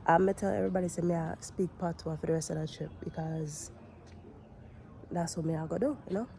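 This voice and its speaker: Raspy-voiced young woman vlogging while sick. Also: fairly low pitch, brisk, dark tone, slight background noise. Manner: chatty, blunt, slangy, many fillers. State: sick with a cold, runny nose. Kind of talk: casual vlog chat. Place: outdoors on a hot morning with a view.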